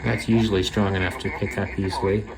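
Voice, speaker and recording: A man's voice: low voice, flat delivery, quick pace. A man chatting casually and unscripted as he demonstrates a shortwave radio, thinking aloud into a camera on a small tripod. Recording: noisy, radio static and hiss. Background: shortwave radio noise.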